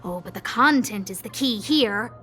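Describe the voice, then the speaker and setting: A woman's voice: medium pitch, lively intonation, normal speed, bright, forceful tone, soft background music. A woman playing an earnest, theatrical young noblewoman boss in a video game. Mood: assertive, accusing. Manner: theatrical, emphatic, declarative. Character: earnest, dramatic young noblewoman boss, apologetic yet insistent.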